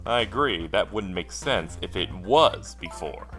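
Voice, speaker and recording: A man's voice: sits low, expressive, unhurried, a bit bright, soft background music. A man voicing a sardonic, probing amnesiac dead man in a detective game. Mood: accusing. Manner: sardonic, questioning, pressing. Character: wry amnesiac dead man, a needling amateur investigator.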